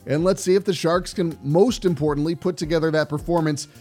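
A man's voice: on the high side, steady tone, a little fast, a little mellow, faint music behind. A man hosting a sports radio show, giving conversational commentary in emphatic, repeating rhetorical runs.

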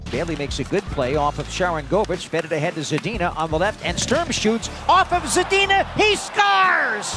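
A man calling live hockey play-by-play in an animated, projecting, excited commentary style. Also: very high voice. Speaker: play-by-play announcer.

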